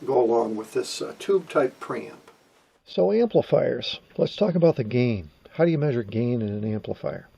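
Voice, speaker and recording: A man in his sixties presenting an informal technical explanation in a halting way, with frequent pauses, in a small room at home. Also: medium pitch, creaky, warm tone, slightly rough, distant mic. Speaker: presenter of a vintage radio electronics channel.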